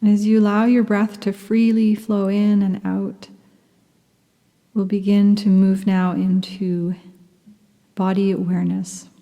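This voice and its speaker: Slow, soft-spoken meditation teacher woman. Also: medium pitch, little pitch movement, in a large hall. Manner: soft, slow, soothing, half-whispered at times. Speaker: yoga nidra teacher. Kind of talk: guided meditation.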